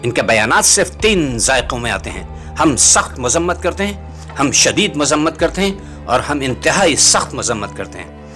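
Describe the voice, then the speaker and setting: A man's voice: medium pitch, pushed and bright. A man reading scripted political commentary as a host, in a rhetorical, bitingly sarcastic manner. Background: music.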